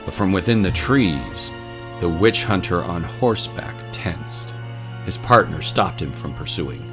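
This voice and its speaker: A man's voice: sits low, lively intonation, measured pace, over music. A man delivering scripted, dramatic narration of a story.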